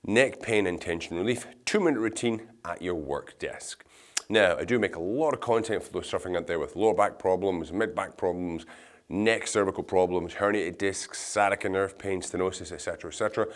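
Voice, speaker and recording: A man's voice: low-pitched, a little fast. A man with a slightly nasal, congested voice from a cold, giving casual, reassuring step-by-step instructions as an exercise instructor in a video. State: blocked nose from a cold.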